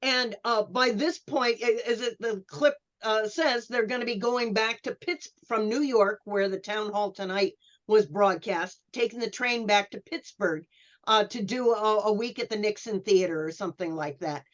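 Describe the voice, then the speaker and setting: A woman's voice: mid-range voice, normal speed. Woman panelist, muffled lo-fi sound. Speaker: panelist giving historical background. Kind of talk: unscripted panel discussion. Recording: dull lo-fi online call audio.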